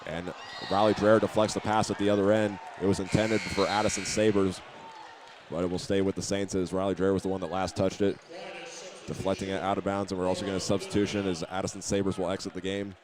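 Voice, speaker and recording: Fast, low-voiced man calling basketball. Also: flat delivery, background noise. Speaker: play-by-play announcer. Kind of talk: live high school basketball commentary. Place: basketball game venue.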